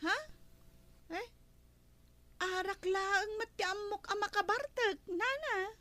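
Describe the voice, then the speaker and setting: An actress with an Ilocano Filipino accent playing a bossy, inquisitive wife of a village captain in a radio comedy drama. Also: female voice, very high-pitched, takes it slowly, bright, forceful tone, heavily acted. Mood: skeptical.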